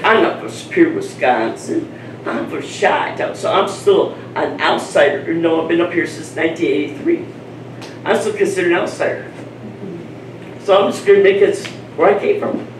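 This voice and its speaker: A man's voice: a little high, normal speed. A man from Chicago speaking from the audience at a community panel, blunt and rambling with a self-mocking streak. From Chicago, Illinois. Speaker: audience member. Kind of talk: open-floor comment. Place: event room at a community panel. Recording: roomy, distant, faint noise.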